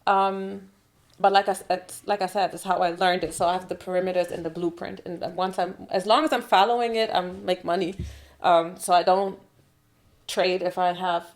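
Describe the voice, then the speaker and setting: A woman in her thirties speaking English with a German accent, her voice sitting a little low. She talks candidly and at length, dropping in "like" and "you know what I mean" as she goes. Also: little pitch movement, normal speed. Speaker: guest, trader and entrepreneur. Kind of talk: casual podcast interview.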